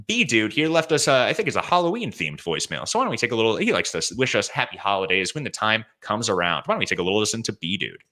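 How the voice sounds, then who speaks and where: A man hosts a comedy podcast in a deadpan, mock-earnest manner, moving quickly from one voicemail to the next. His speech is brisk and lively, with a bright, forward tone. He sounds like a regular host introducing callers to his audience. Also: on the low side. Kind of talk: casual comedy podcast chat.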